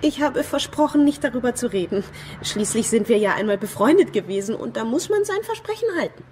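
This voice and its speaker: High-pitched, brittle young woman. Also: very animated, brisk, darkish tone. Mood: prim, touchy. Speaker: actor in a radio play. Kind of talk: German radio drama acting. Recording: background street traffic noise.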